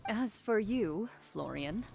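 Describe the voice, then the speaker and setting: A woman playing a stoic, honorable female duelist in a video game, measured, formal and cool. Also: mid-range voice, animated, vocal fry, darkish tone, faint music behind. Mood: stern, fair.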